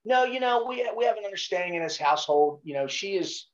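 A man's voice: high-pitched, lively intonation, fast talker, dull audio. Profane Baltimore man telling stories. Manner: profane, storytelling, laid-back. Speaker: podcast guest, former Navy SEAL. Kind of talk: casual chat.

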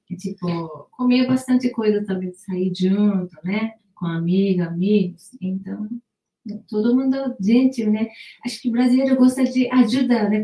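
A Japanese woman speaking in simple, halting Portuguese as she chats casually about her impressions. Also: medium pitch, warm tone, far from the mic. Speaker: interview guest. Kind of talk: casual chat. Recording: roomy, from off-mic.

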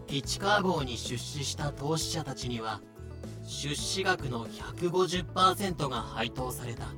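A man narrating a fan-made voice drama in a measured, explanatory way. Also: on the high side, expressive, music in the background, close to an everyday voice.

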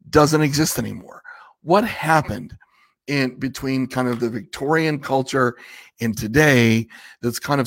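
Slightly raspy man chatting on a livestream. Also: mid-range voice. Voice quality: a little raspy. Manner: conversational, rambling, asks viewers questions. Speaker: livestream host. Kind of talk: casual livestream talk.

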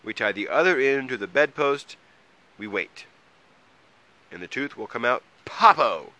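A man's voice: medium pitch, expressive, measured pace, pushed and bright, no echo, voice pushed up. A man voicing a practical, easily exasperated but kind-hearted mole in a children's story, speaking earnestly and emphatically.

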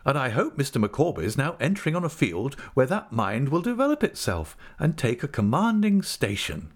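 A man's voice: mid-range voice, wildly swooping pitch, fairly quick, pitched above the performer's own voice. A man voicing a self-important, doting middle-aged wife who makes earnest, businesslike pronouncements in an audiobook. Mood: complacent, earnest. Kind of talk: audiobook character acting.

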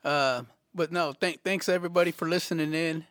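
A man with a somewhat high voice, recorded dry and close with no room sound. He closes out a casual faith podcast in a relaxed, chatty manner, thanking listeners and reading out where to find the show. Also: fairly quick, no room sound. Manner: easygoing, chatty wrap-up. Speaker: podcast host.

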